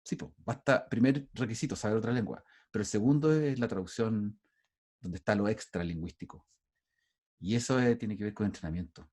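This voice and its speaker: A man's voice: fairly low pitch, a little fast, darkish tone. A middle-aged man with a Chilean accent teaching a university class over a video call, talking in a loose, conversational way with frequent restarts. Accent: Chilean Spanish. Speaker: university lecturer. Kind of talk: online class lecture.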